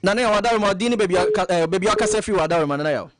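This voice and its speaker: A man from Ghana hosting a radio phone-in show, greeting callers briskly one after another. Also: sits high.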